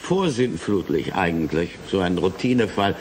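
A man's voice: sits low, animated, moderate pace, darkish tone, slight background noise. A male actor playing a formal, probing and sceptical questioner in a German radio play.

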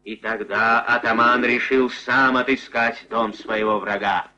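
A man narrating a puppet-film folk tale in a theatrical, expressive storybook style. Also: medium pitch, unhurried. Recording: dull old film soundtrack. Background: music.

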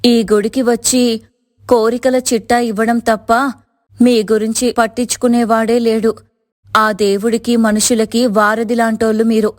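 A woman voicing a hardworking, devout young vegetable seller with a quick temper, in expressive moral-story dialogue. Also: a little high, no room sound, clean studio sound, close to an everyday voice. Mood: grateful, respectful.

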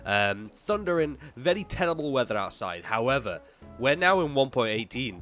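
A man's voice: mid-range voice, a bit bright. A young man with a slightly nasal voice from a cold, casually commentating a gameplay video and chatting to himself. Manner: chatty, jumpy, talks to himself and the game. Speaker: gaming YouTuber.

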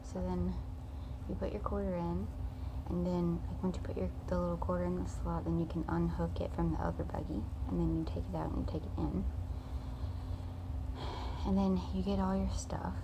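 A woman's voice: on the low side, level tone, moderate pace, noisy background. A young woman, rambling through a casual vlog into her phone camera, full of fillers and restarts. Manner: rambling, filler-heavy storytelling. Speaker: vlogger. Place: parked car, then a home living room at night. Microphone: phone camera close up.

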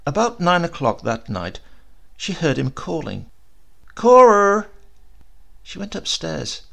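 A man narrating a scripted story as an audiobook reader, with deliberate, theatrical phrasing. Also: on the high side, very animated, vocal fry, fairly bright tone.

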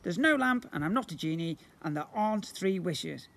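A man voicing an excitable, theatrical shapeshifting slime creature in a children's storybook, grandiose and playful. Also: sits high, normal speed, creaky, exaggerated voice. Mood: grand. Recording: noisy outdoor handheld recording.

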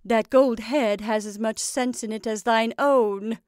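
A high, sharp-voiced old witch, as a woman reads her, cooing over her creation in old-fashioned speech. Her pitch sits well above a plain speaking voice and rises sharply when she calls out. The sound is dry and close. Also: fairly even intonation, measured pace, clear, resonant tone, no room sound, close to an everyday voice. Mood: doting. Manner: fond and coaxing, archaic speech. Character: cackling old witch mother, doting, sharp-tongued.

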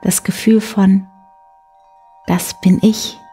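A woman in her forties hosting a bedtime show, guiding the listener gently and soothingly with a soft, breathy voice. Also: mid-range voice, level tone, takes it slowly. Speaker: host of a bedtime story show. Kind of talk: guided sleep meditation, soft bedtime storytelling. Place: indoor room beside a wood stove. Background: soft music.

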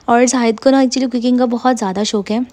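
A woman, casually narrating a home cooking vlog in a rambling way, with frequent fillers and soft laughs. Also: fairly high pitch, fairly even intonation, fairly quick. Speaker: vlogger. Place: home kitchen.

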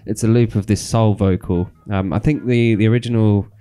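Man, 30s, low smooth voice, warm and mellow, relaxed and chatty, handheld mic in small studio. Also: fairly quick, soft background music. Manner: relaxed, laughs often, rambling with restarts. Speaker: radio show co-host. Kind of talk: casual chat about dance music. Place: small music studio with speakers and decks.

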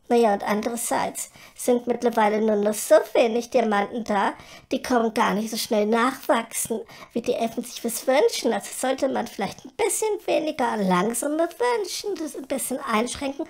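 A woman playing a vain, flighty, chatty fairy in a comedy audio drama, with theatrical, airy delivery. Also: on the high side. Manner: theatrical, exclaiming, airy, shifting to chatty explaining.

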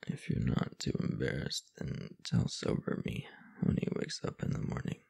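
A young man playing a drunk, affectionate roommate in an intimate roleplay audio, slurring drowsily in a husky, halting voice. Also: darkish tone, lightly acted. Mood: sleepy, fond. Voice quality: husky, breathy, gravelly low voice. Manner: slow, halting, slurred drowsy tipsy delivery. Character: drunk, affectionate young male roommate confessing his crush.

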